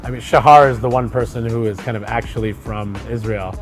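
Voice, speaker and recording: A middle-aged man in casual conversation, an actor talking loosely and relaxed, with many fillers and restarts. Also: mid-range voice, fairly quick, over loud music. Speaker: actor co-hosting a chat.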